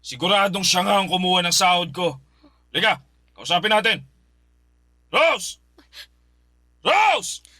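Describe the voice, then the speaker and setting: An actor playing an angry uncle who shouts accusations at his niece in a radio drama. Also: medium pitch, expressive, unhurried, pauses often, no room sound, studio quality. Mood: furious.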